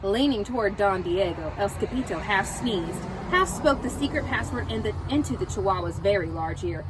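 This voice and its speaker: Animated woman reading a storybook, noisy recording. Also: mid-range voice, moderate pace. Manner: expressive, dramatic storytelling with Spanish words sprinkled in. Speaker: storybook reader. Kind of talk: animated children's read-aloud.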